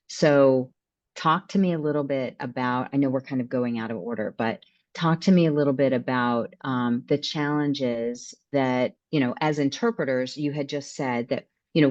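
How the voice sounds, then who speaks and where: Woman, host, very low voice, asks follow-up questions, somewhat dull audio. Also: darkish tone. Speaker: host and interpreter. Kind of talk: hosting a webinar discussion.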